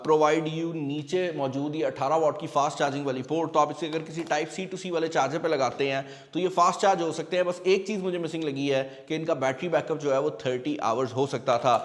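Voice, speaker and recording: A man with a fairly high-pitched voice reviews a product in a reverberant space. He talks casually and directly to viewers like buddies, explaining each point and slipping English phrases into his talk. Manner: conversational, explanatory, addresses viewers as buddy. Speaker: tech reviewer and host.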